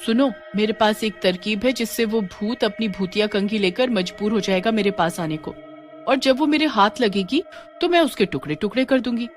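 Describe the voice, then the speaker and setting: A woman's voice: mid-range voice, moderate pace, faint music behind, close to an everyday voice. A woman voicing an indignant, distressed young village wife in a cartoon horror story, with dramatic, complaining delivery. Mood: scheming. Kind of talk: acted cartoon dialogue.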